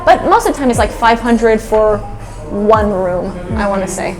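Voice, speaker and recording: A woman's voice: medium pitch, expressive, moderate pace, rough recording. Chatty woman over music. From United States. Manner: chatty, laughs often, many "like"s and fillers. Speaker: expat English teacher and vlogger. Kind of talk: casual interview chat.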